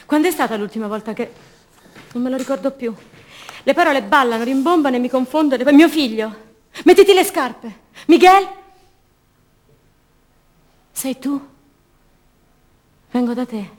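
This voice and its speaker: Sorrowful young mother, slightly high voice, short dreamlike phrases, theatrical radio monologue. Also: expressive, a little slow, pauses often. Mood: confused, yearning. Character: sorrowful young disappeared mother, longing for her son.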